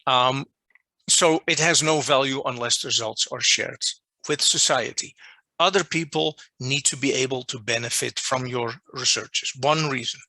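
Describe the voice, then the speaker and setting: A man with a Dutch accent, a non-native English speaker, giving an online lecture as a journal editor and restating his points deliberately over a video call. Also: mid-range voice, bright, forceful tone, no echo. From Netherlands. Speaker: journal editor presenting a workshop. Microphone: video call microphone.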